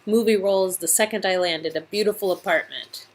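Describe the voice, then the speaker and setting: A woman's voice: medium pitch, fairly quick, natural voice. A woman reading the part of a starry-eyed, earnest young would-be actress in dialogue from a visual novel game. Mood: wistful, doubtful.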